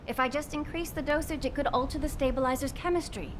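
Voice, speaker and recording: An earnest, protective technical expert voiced by a woman in a video game, with a clearly high voice. She explains things urgently and precisely, over noticeable rumbling background noise. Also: fast talker, close to an everyday voice. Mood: grave, insistent. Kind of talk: dramatic video game voice acting.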